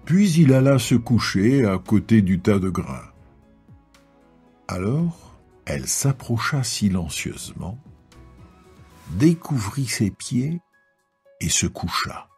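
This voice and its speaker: A man reads scripture as a solemn narrator. His low voice is very husky and mellow, with music underneath. The storytelling is measured and scripted. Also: big pitch swings.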